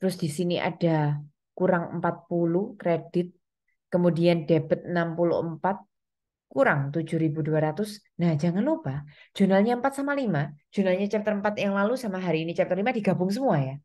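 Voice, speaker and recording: A woman's voice: fairly low pitch, brisk, a little mellow. A woman giving an explanatory lecture, speaking step by step with frequent reminders to her students. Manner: instructional, step-by-step, with frequent reminders and check-in tags. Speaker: accounting lecturer.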